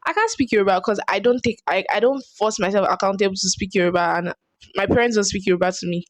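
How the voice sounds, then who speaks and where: A woman's voice: mid-range voice, quick pace, dry recording. A young woman with a Nigerian accent gives casual language-learning tips to camera. She is chatty and earnest and often restarts her phrases. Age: young adult. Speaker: vlogger. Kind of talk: casual advice vlog.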